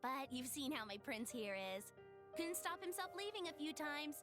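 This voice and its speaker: A woman's voice: sits high, animated, moderate pace. A woman playing a cheeky, teasing companion to a prince in a video game cutscene. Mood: explaining. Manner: playful, teasing. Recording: music behind the voice.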